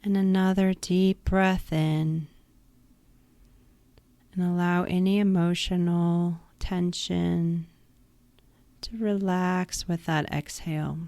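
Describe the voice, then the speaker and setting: Slow, soft-spoken woman guiding meditation. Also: lowish voice, steady tone, dry recording. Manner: slow, soothing, instructive. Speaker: yoga nidra guide. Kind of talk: guided meditation.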